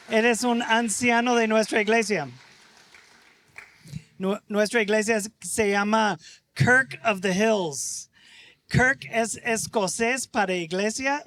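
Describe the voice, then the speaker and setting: A middle-aged American man, a native English speaker, preaching as a guest in halting Spanish with frequent restarts. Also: sits high, a bit bright, a little hiss or hum. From United States. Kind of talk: sermon.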